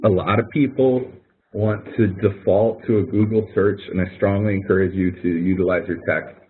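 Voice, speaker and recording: Low-voiced male instructor on a phone-like line. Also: steady tone, normal speed. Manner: conversational, coaching, repeats phrases. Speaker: college course instructor leading a webinar. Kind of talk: informal instructional webinar walkthrough. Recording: thin phone-like webinar audio.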